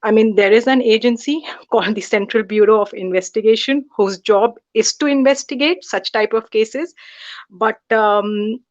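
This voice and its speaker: A woman giving a measured, earnest statement at an online protest over a video call. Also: on the high side, darkish tone, dull audio. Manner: earnest, measured, some ums.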